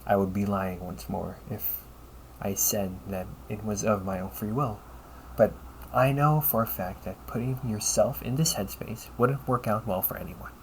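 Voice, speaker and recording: A man playing a polite, caring A.I. companion in an audio roleplay, speaking in measured, formal phrases and often checking with a questioning tag. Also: fairly low pitch, steady tone, slight background noise, natural voice, low-quality recording. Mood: earnest, reassuring. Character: polite, caring male A.I. companion, gently logical and encouraging. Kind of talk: audio roleplay addressed to the listener.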